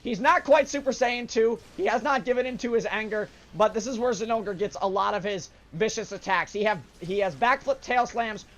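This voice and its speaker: A young man with a hoarse, sore-throated voice, casually commenting on a game he plays, with filler sounds. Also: sits high, normal speed, hardly any pauses, a bit bright. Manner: casual, upbeat explanatory, frequent "uh" fillers. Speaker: gaming channel host. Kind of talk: let's play commentary. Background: game music.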